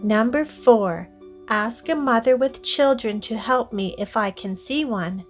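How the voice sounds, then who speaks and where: Woman acting young female unicorn, storybook character, high-pitched, unhurried, earnest, careful. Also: faint music behind, close to an everyday voice. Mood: earnest, self-reminding.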